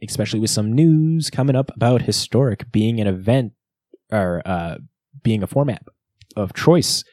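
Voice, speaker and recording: Mellow, low-voiced male podcast co-host. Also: no room sound. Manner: jokey, bantering. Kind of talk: casual podcast banter and event recap.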